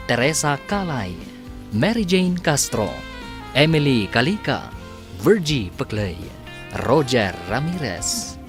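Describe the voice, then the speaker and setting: A man with an Ilocano accent announcing radio drama credits in a scripted, projected style with drawn-out endings. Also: medium pitch.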